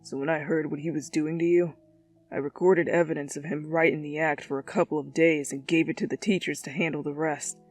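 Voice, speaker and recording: Cocky, dismissive teen bad boy acted by a female reader, pitched down and gruff, for a story reading over soft music. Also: steady tone, a little fast, rough recording. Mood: scornful, matter-of-fact. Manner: blunt, swearing, dismissive. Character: gruff, cocky teenage delinquent boy, brash and blunt.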